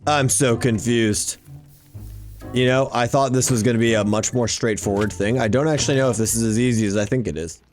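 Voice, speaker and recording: A man's voice: medium pitch, steady tone, brisk, faint music behind. A man giving casual, exclamation-filled gameplay commentary, often talking to himself as he plays. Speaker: gaming YouTuber hosting a Minecraft series.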